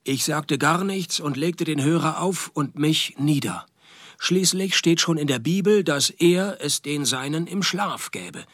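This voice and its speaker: A world-weary private detective in a radio play. His voice sits a little high for a man. He delivers narration and wisecracks in a dry, deadpan way. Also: measured pace, no room sound.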